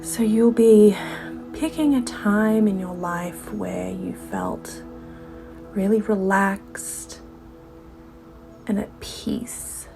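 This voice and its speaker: A woman leading a guided meditation as a yoga teacher, soothing and unhurried, her soft voice often falling away toward a whisper. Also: mid-range voice, lively intonation, over music. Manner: unhurried instruction with gentle pauses.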